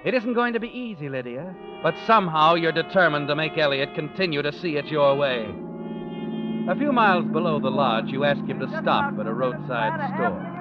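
A muffled vintage radio recording with clear music under it. An actor plays a male suspense narrator, knowing and sinister, slipping insinuations to the listener in a slightly raised pitch. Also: lively intonation, normal speed, natural voice. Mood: knowing, ominous. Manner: insinuating second-person address.

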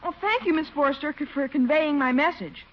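Woman playing a prim, high-voiced little boy. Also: normal speed, natural voice. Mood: prim, courteous. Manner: formal, precise, priggish. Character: prim, overly polite, smug little boy. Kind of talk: 1940s radio comedy acting. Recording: muffled, hissy old radio broadcast.

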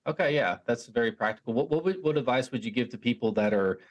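A man's voice: medium pitch, expressive, fast talker, lo-fi sound. A man hosting a podcast, reflecting back on what his guest said in a thoughtful, casual way, often restarting his sentences. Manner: thoughtful, reflective, many restarts and "you know"s. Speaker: podcast host. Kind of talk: casual interview chat.

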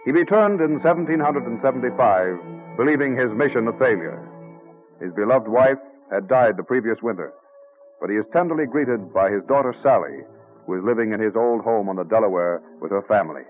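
A man delivers formal, measured narration in classic radio style. His pitch is medium and his manner solemn. The recording is narrow and muffled, with soft music underneath. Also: animated. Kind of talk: old-time radio scripted narration. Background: faint music.

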